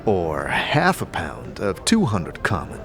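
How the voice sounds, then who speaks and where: A man giving dramatic scripted narration for a fantasy audio drama, speaking in a measured, deliberate manner. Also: deep voice, wildly swooping pitch, gravelly, fairly bright tone. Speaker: narrator and game protagonist. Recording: prominent music bed under the voice.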